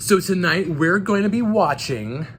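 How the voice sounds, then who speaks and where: A man's voice: sits high, expressive, unhurried. A man hosting a casual movie vlog, chatty and emphatic, with a jokey streak. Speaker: host of a movie night vlog. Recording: loud music bed under voice. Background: music.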